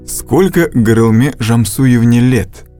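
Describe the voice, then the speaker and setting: A man reading scripted narration for a language course, with slow, deliberate instructional delivery and a close studio mic. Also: mid-range voice, dark tone, soft background music.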